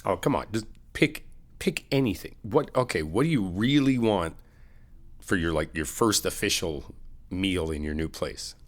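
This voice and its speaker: A man's voice: sits low, lively intonation, measured pace. A man in a friend roleplay, chatting casually and upbeat to the listener, laughing often and teasing. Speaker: ASMR roleplay creator playing a best friend.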